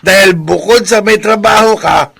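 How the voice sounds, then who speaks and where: A man's voice: high voice, moderate pace, vocal fry, sharp tone, husky, exaggerated voice. An actor playing a jovial, fawning drinking buddy who eggs on his friend in a Filipino radio drama, with a Filipino accent. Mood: sly, merry. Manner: jovial, egging on. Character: sly, fawning drinking buddy, a bad influence.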